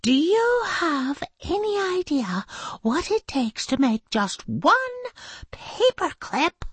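A woman's voice: mid-range voice, expressive, a little mellow, slightly rough, no echo, voice pushed up, exaggerated voice. A woman voicing an exasperated, scolding woman schoolteacher in a children's story, with dramatic, emphatic delivery. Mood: outraged. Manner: dramatic, emphatic, drawn-out stressed words.

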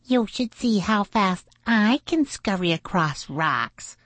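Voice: mid-range voice, expressive, slow, a bit bright, no room sound, pitched above the performer's own voice. A woman voicing a boastful, jealous little male pond critter who scoffs and shows off in a children's storybook. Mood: scornful boasting.

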